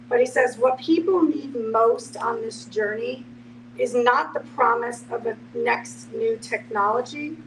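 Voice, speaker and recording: A woman speaking deliberately, phrase by phrase, as a panelist in an informal discussion. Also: on the high side. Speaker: panelist, end-of-life guide. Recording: distant mic, noisy humming room.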